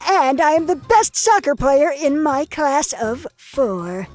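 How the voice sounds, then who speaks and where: A woman's voice: high voice, big pitch swings, slow pace, faint music behind, full character voice. A woman voicing a proud, dopey teenage soccer boy who boasts earnestly in an anime parody skit.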